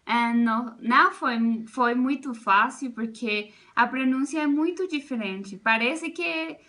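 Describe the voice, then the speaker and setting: Bright-voiced woman. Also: a little high, takes it slowly. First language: Spanish. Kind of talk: casual testimonial.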